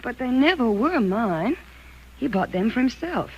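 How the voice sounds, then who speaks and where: An actress playing an anxious, frightened wife in a 1940s radio thriller, polite, hesitant and pleading. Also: female voice, a little high, sing-song, a little slow, lo-fi sound. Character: anxious, frightened wife doubting her husband. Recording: noisy, crackly 1940s radio recording.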